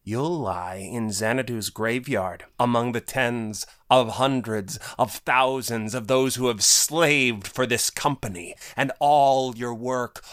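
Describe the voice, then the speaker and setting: An actor playing a scheming male court administrator, icy and condescending with a low, menacing delivery. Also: fairly bright tone. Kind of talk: audio drama acting.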